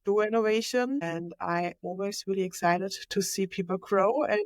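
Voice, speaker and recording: A woman's voice: mid-range voice, steady tone, normal speed, dry recording. German-accented woman, dry close sound. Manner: eager, fluent with non-native slips. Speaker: podcast guest, innovation manager. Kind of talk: conversational interview.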